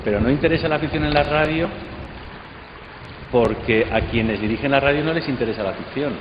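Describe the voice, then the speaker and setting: A man's voice: medium pitch, fairly quick. A man with an accent from Spain giving his opinion in an unscripted debate, emphatic and repeating key phrases. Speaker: participant in a congress debate. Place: large hall at a radio drama congress. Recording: echoey hall, dull sound, clear background noise.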